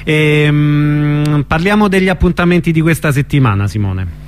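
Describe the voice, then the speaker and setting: A man's voice: a little high, animated, normal speed, a little hiss or hum. A man hosting a casual radio chat, hesitant and often repeating himself. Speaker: radio host.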